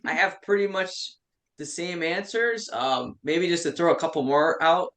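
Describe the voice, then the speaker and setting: A man's voice: fairly high pitch, lively intonation, a little slow, fairly bright tone. A man in his twenties from Western New York, a metal band member chatting enthusiastically as a guest and swearing freely. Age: 20s. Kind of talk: casual chat.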